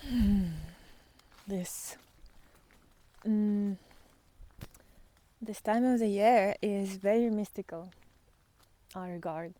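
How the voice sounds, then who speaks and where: A woman with a soft-edged, mellow voice talks at a slow pace as she walks outdoors. Her delivery is reflective and unscripted, with halting phrases that often sink into a breathy near-whisper. The pitch sits in an average range for a woman. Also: fairly even intonation. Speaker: spiritual vlogger and guide. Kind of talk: unscripted reflective talk while walking in nature. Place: outdoors on a walk in nature.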